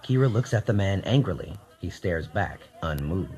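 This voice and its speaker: A man's voice: low voice, animated, normal speed, a little mellow, dull audio. A man narrating a scripted radio drama in a theatrical storytelling manner.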